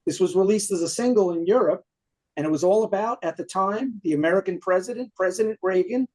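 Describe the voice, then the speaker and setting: A man's voice: high voice, brisk, a little mellow, low-quality recording. A man with a New York accent, a punk singer guest, eagerly talking through a favorite song in a casual music chat. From Queens, New York. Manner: enthusiastic, detail-heavy storytelling.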